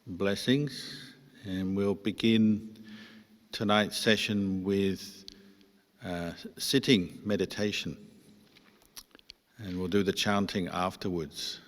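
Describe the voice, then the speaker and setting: A man, a Buddhist monk, guiding a meditation with measured instructions and pauses between short phrases. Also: sits low, steady tone. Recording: long hall-like reverb.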